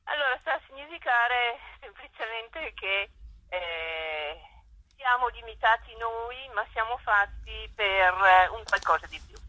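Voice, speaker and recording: A woman politely giving measured, explanatory interview answers as a guest over the telephone. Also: a little high, expressive, pushed and bright, dry recording. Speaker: guest, president of a cultural academy. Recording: dry, dull telephone-like line.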